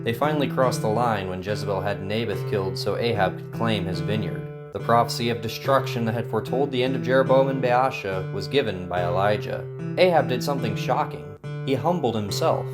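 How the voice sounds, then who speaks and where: Guy narrating bible story over loud guitar, steady scripted delivery. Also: fairly low pitch. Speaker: host and narrator. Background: guitar music.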